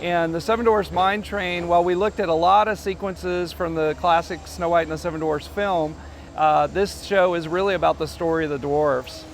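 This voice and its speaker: A man's voice: a little high, steady tone, normal speed, creaky, a little hiss or hum. A man who designs theme park rides, explaining a new attraction enthusiastically, with many uhs and restarts. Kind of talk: interview explanation.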